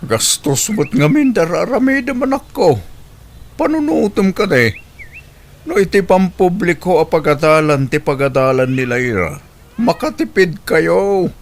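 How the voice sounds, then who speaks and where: A man's voice: high voice, animated, unhurried. A man voicing an elderly, frugal father in an audio drama, advising and admonishing.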